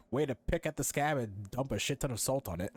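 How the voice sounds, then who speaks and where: A man's voice: medium pitch, expressive, normal speed, darkish tone, slightly rough, no echo. A young man giving casual, slangy let's-play commentary with a sarcastic, irreverent manner. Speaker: gaming streamer reacting to a game.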